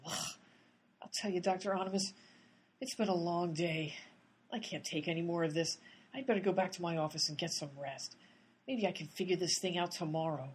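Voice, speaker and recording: A woman's voice: lowish voice, fairly quick, breathy edge. A woman playing an exasperated, sarcastic private detective in a comedic radio play. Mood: weary.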